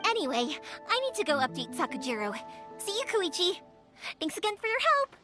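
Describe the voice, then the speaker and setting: A woman's voice: very high-pitched, very animated, a little fast. A woman voicing a cheerful young woman fireworks maker, chatty and upbeat, in a video game. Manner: warm, upbeat, chatty storyteller. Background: game music.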